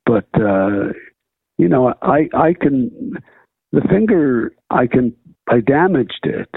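A man near seventy, a guitarist guest in a casual interview, relaxed and self-deprecating, often laughing. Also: mid-range voice, slow pace, vocal fry, husky, no room sound. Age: older. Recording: tinny phone line.

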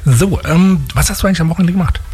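A man's voice: high-pitched, very fast, over music. Rambling Saxon-accented man with a lisp. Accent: Saxon German. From Leipzig, Germany. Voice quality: slight lisp. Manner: rambling, self-mocking, many restarts. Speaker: radio host. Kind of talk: casual on-air chat.

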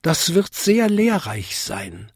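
Man putting on a tiny high timid bell. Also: sing-song, slow pace, slightly rough, no room sound, clean studio sound, exaggerated voice. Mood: shy. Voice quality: high, tinkling. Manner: polite, earnest, shy. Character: smallest brand-new bell, timid, polite, naive little girl-like newcomer.

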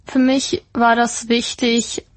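A woman's voice: on the high side, little pitch movement, slow. A woman in her mid twenties from Germany's Ruhr area, chatting casually as an interview guest and mixing in English words. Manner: informal, mixes in English words. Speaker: interview guest, YouTuber and presenter. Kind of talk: casual chat.

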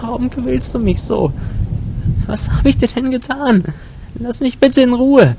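A man's voice: very high-pitched, a little slow, vocal fry, pitched above the performer's own voice, heavily acted. Scared little girl, thin pleading voice, a guy putting it on, storm noise behind. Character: frightened young girl, pleading and defiant. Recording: storm sound effects behind the voice.

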